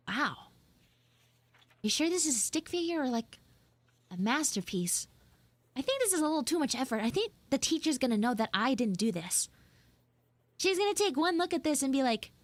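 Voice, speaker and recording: A woman voicing a flustered young tsundere schoolgirl in a live audio roleplay, halting, awkward and defensive. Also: on the high side, no echo. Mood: flustered, chatty. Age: young, school student. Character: flustered tsundere frog girl, failing art student.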